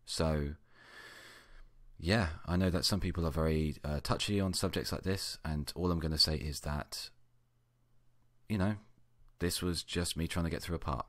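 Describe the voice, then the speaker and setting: A man with a low voice reads fan fiction aloud as an amateur narrator. The sound is dry and close, with little room around it. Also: fairly even intonation, normal speed, fairly bright tone, no room sound. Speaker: amateur story reader.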